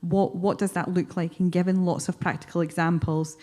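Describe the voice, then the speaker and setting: A woman's voice: on the low side, level tone, moderate pace, darkish tone. A woman speaking unscripted as a panellist, thinking aloud and landing emphatically on her points. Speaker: panel speaker on outdoor education.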